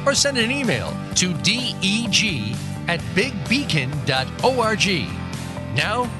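A man reading scripted radio promos and station announcements in a lively way. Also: high voice, slow, over loud music.

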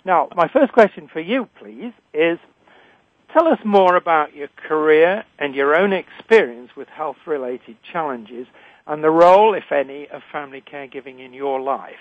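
Older british guy hosting a radio show, formal and deliberate, thin phone sound, dry. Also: a little high, expressive, dry recording. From Britain. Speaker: radio show host, retired physician. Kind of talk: scripted radio introduction and interviewing. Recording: narrow, phone-like line.

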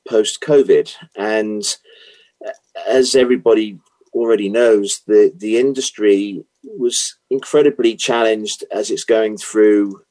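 A middle-aged British man talks through his answers with a fairly low voice. He hesitates often, filling gaps with ums and repeating words as he builds his sentences. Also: a little mellow, low-quality recording. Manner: hesitant, many fillers and restarts. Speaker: guest, automotive consultant. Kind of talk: interview answers.

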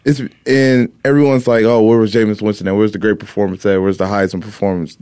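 A man's voice: on the low side, flat delivery, quick pace, a little mellow, clean tone, no echo. A man co-hosting a casual sports talk show, talkative and easygoing, laughing often as he makes his case.

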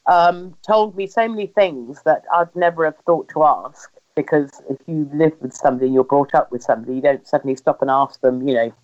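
A woman with an English accent telling personal family stories in conversation, acting out remembered dialogue as she goes. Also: low voice. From England. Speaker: interviewee recounting family history. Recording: slightly dull, lo-fi sound.

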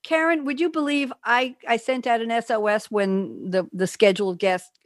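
A woman's voice: fairly high pitch, fairly even intonation, moderate pace, no room sound. An older woman hosting a radio talk show, with a New York accent. She chats in a loose, rambling way and laughs often. The recording is very dry and close. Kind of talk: casual chat with guests.

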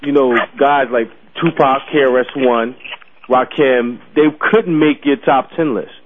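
A man's voice: medium pitch, fairly even intonation, measured pace, slight background noise. A man hosting a casual radio interview, putting in brief responses and prompts. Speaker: radio show host. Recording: phone-like narrow sound with crowd murmur.

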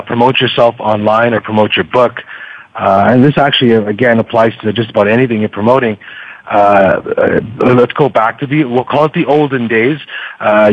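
A man with a mid-pitched voice speaking as an interview guest. He explains things in a casual, halting way, full of uhs and repeated words. The audio is dry and a little dull. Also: no echo. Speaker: guest, book podcaster. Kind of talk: conversational explaining and advice.

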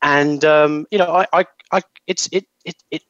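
A man's voice: fairly high pitch, normal speed, no echo, muffled recording. Stammering London man telling his story. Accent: London English. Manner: stammering restarts, animated storytelling. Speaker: guest, sustainability executive. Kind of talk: interview answer.

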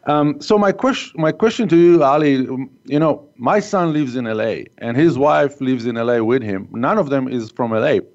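A man hosting a business interview podcast, speaking as an in a halting way, with many fillers. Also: mid-range voice, a little mellow. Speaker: podcast host. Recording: muffled lo-fi audio.

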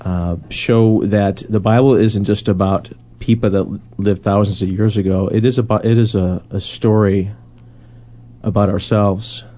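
A man's voice: fairly low pitch, fairly even intonation, a little slow, mellow. A man on a discussion panel, explaining casually and loosely, restarting phrases as he goes. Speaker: panelist on a Bible study discussion.

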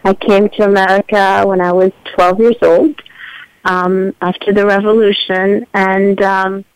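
A woman's voice: mid-range voice, creaky, clean tone, dry recording, dull audio. A woman from Iran, a doctor and radio guest, telling her life story earnestly with some fillers. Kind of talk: radio interview.

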